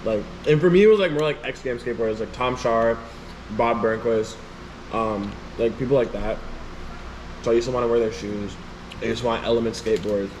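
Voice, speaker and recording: Young man from Chicago, middle pitch, animated and laughing, steady air-conditioner hum behind. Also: brisk, poor audio. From Chicago, United States. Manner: animated, laughs often, heavy use of "like". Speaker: musician guest. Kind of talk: casual interview chat.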